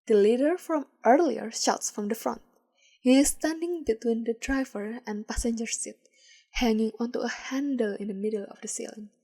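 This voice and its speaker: A woman reading fan fiction aloud as a podfic, with calm, measured storytelling. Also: fairly high pitch, slightly rough. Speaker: podfic reader.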